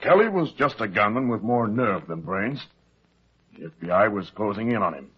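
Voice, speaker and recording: An old company president in a muffled radio drama. He is brisk and authoritative, with a husky voice that swings widely in pitch as he presses each point. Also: lively intonation. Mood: insistent. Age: older. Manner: businesslike, emphatic, authoritative.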